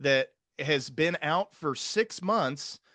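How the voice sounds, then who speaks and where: A man hosting an interview, talking in an animated way and stringing together rhetorical questions. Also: on the high side, takes it slowly, dry recording. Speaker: podcast host. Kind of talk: conversational interview.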